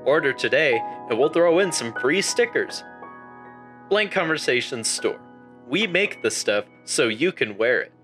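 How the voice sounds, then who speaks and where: A man's voice: mid-range voice, expressive, measured pace, fairly bright tone. A male announcer giving a playful, mock-salesman promo for a theater company before announcing the play. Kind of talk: comic promo and show announcement. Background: guitar music.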